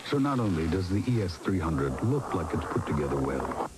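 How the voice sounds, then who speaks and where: A man's voice: low-pitched, big pitch swings, moderate pace. A man reading a scripted car commercial voice-over as an announcer. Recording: very noisy, vehicle sounds.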